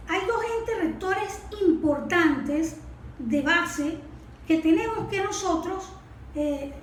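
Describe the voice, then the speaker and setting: Female expert guest, Venezuelan accent, high register, explanatory interview delivery, distant echoey sound. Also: expressive, takes it slowly. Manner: didactic, with rhetorical questions and check words. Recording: echoey room, distant mic, faint noise.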